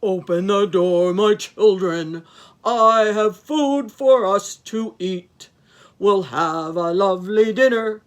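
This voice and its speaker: A woman's voice: mid-range voice, lively intonation, voice pushed up. A woman voicing a scheming, hungry male wolf from a children's story, growly and theatrical. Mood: booming, singsong. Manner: theatrical, loud, slow singing.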